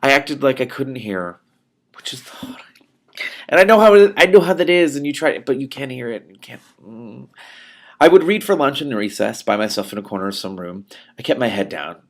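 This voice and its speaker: A man's voice: mid-range voice, fairly quick. A man reading a novel aloud expressively, breaking off now and then to add spontaneous comments of his own. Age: adult. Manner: animated, reacts aloud to the text and breaks off to comment. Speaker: reader and commentator of a book read-along show.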